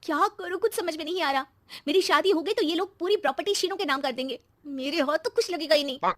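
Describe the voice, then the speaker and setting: Woman acting as young scheming daughter, high voice, insistent and coaxing, greedy, moral-story dialogue, dry recording. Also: fast talker, a bit bright, no echo. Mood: irritated.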